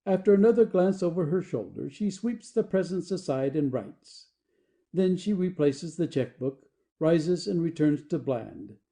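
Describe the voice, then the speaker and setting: A man reading the stage directions of an audio play as expressive scripted narration. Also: a little high, vocal fry.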